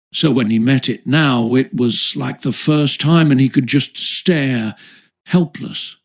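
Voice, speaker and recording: A man's voice: mid-range voice, darkish tone. Man narrating a classic children's adventure. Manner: storytelling, literary read-aloud delivery. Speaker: audiobook narrator.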